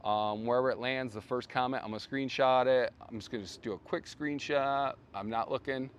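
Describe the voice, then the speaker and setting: A man hosting a casual brand livestream outdoors, rambling with many fillers and restarts, his voice going hoarse. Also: medium pitch, fairly even intonation, fairly quick, a little hiss or hum. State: losing his voice. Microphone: phone.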